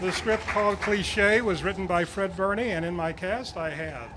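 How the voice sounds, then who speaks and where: A man's voice: sits high, moderate pace, very noisy. A man informally presenting at a live club meeting as the script's writer.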